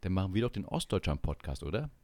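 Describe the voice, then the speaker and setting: A man's voice: sits low, sing-song, quick pace, a little mellow, no echo. A man from East Germany chatting casually and jokingly as a podcast host, often searching for words. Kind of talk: casual comic chat.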